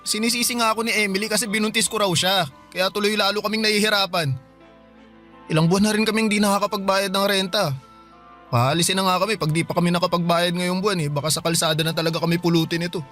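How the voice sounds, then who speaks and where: An actor playing a hard-up, stubborn young brother in a Filipino radio drama, pleading and defensive in casual everyday speech. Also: male voice, high voice, fairly even intonation, quick pace, bright, forceful tone. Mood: dejected. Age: young adult. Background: music bed.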